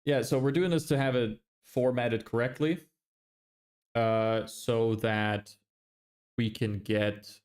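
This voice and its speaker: A young man from Lithuania who grew up in Ireland, chatting casually on a livestream, relaxed and rambling with many restarts. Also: mid-range voice, takes it slowly, clear, resonant tone. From Lithuania, raised in Ireland. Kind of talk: casual livestream chat, reading chat.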